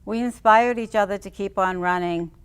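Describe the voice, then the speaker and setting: A woman reading her own personal writing aloud and chatting about it in a reflective, unhurried way. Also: medium pitch, clean tone. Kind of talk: spoken reading of personal memoir and reflective chat.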